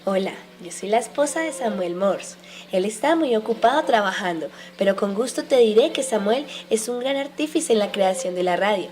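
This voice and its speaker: A woman plays the helpful wife of an inventor in an educational radio drama. Her voice has an average female pitch. She explains in a recited, scripted way. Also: expressive, moderate pace, darkish tone, natural voice. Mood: obliging.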